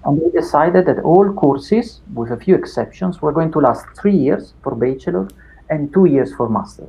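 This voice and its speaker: A middle-aged man with an Italian accent, Italian his first language, talking through his past in an unhurried interview with many fillers and restarts. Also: a little high, lively intonation, creaky, dark tone, a little hiss or hum, low-quality recording. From Italy. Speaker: academic guest. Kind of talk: reflective interview chat.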